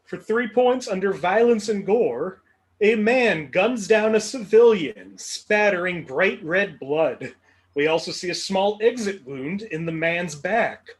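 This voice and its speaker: A man with a Midwestern accent hosting a trivia game, reading clues with playful, dry humor. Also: sits high. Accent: Midwestern American. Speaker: game show host.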